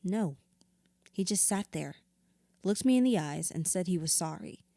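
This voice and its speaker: Low-voiced grieving widow. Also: female voice, animated, unhurried, warm tone, dry recording, studio quality. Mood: pained, hesitant. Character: wounded, candid grieving widow in therapy. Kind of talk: audio drama acting, conversational.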